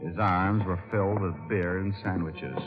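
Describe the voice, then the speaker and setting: An actor playing a terse, world-weary private detective in an old-time radio crime drama. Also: male voice, sits low, steady tone, normal speed, lightly acted. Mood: grim, dazed. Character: hard-boiled male private detective narrating his own story. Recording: muffled old radio recording with music.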